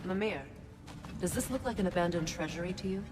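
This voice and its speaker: Casting: actress as wise mature sorceress for game drama. Lower-pitched, composed, teasing, heavy score behind her. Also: expressive, fairly quick, natural voice. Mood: sober. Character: wise, dry-witted mature female sorceress, self-possessed. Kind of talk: video game drama acting. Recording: loud music behind the voice.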